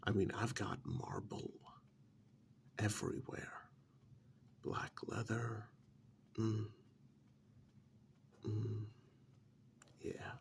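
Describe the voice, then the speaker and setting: A man playing a smug, pampered captive in a soft-spoken ASMR role-play, drawling sardonically with long pauses and feigned innocence. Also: fairly low pitch, wildly swooping pitch, a little hiss or hum, heavily acted. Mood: smug, boastful. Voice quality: soft, half-whispered, gravelly.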